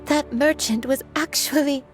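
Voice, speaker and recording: An actress playing a sincere, troubled former disciple in a video game, speaking earnestly and hesitantly with emotion. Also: female voice, sits high, fairly even intonation, a little slow, faint music behind. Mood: stunned realization. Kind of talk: scripted video game acting.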